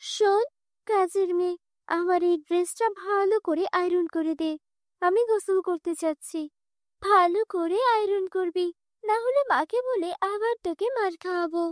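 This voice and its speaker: A woman's voice: very high voice, normal speed, no echo, clean studio sound, pitched above the performer's own voice. A woman voicing a spoiled, spiteful young stepsister in a cartoon fairy tale, whiny and demanding. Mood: bossy.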